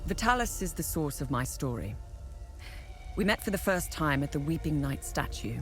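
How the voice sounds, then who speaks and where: A woman's voice: sits low, lively intonation, moderate pace, faint music behind. A woman playing a determined, candid war journalist in a video game, earnest and matter-of-fact with a weary resolve. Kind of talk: video game cutscene dialogue.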